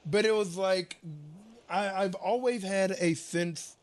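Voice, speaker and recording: A man's voice: high voice, takes it slowly. A man who makes documentaries, speaking in conversation in an emphatic, thoughtful way with blunt wording. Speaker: documentary maker and interviewer. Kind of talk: reflective conversation.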